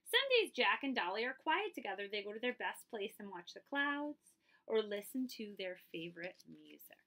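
A woman in her thirties or forties reading a picture book aloud as a librarian, expressive and emphatic, with casual asides to listeners. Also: mid-range voice, normal speed. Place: small indoor room.

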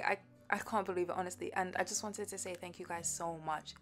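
A young woman speaking casually and sincerely to her listeners as an audio creator. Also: lowish voice. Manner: sincere, informal.

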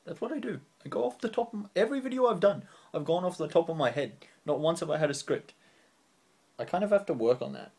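Young dude rambling thank-yous into a webcam, kinda croaky, totally off the cuff. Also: male voice, on the high side, lively intonation, fast talker, darkish tone. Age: young adult. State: voice getting croaky. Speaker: channel host. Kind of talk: unscripted vlog sign-off. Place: small room indoors, on a couch.

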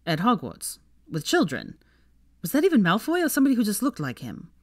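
A blunt young wizard from a storybook, acted by a woman. He sounds stern and incredulous, with wide swings in pitch and a dry, close sound. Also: huge pitch swings, a little fast, darkish tone, no room sound. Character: stern, bewildered, loyal young male wizard.

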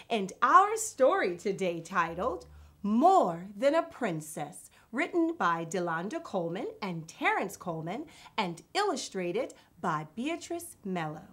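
A woman in her thirties presenting a children's read-aloud as host, enthusiastic and expressive. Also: on the high side. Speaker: children's storytime host and reader.

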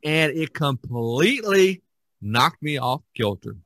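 A man hosting a casual podcast chat, speaking haltingly and often repeating his words. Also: a little high, big pitch swings, a bit bright, no room sound, studio quality. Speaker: podcast host.

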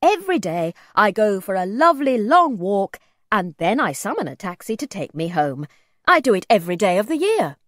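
A woman voicing a gentle, pleading, very old gentleman in a storybook, well-spoken and old-fashioned in his courtesy. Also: mid-range voice, sing-song, moderate pace, dry recording, clean studio sound, lightly acted. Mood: wistful. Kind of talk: storybook character voice.

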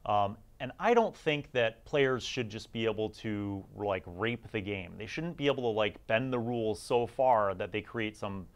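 A man's voice: fairly low pitch, animated, normal speed, hardly any pauses. A middle-aged man giving casual, conversational review commentary as the host of a gaming series, chatty and informal with emphasis on key words.

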